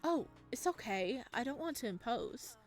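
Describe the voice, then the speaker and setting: Woman as a polite, excitable stranded traveler. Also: a little high, moderate pace, faint music behind, natural voice. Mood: polite, hesitant. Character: polite, easily delighted, awkward stranded traveler.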